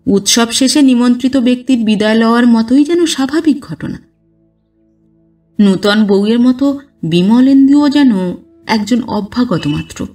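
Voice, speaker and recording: A woman reading a literary story aloud as a narrator, with expressive, dramatized delivery. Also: fairly high pitch, normal speed, far from the mic. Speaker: audiobook narrator. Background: background music.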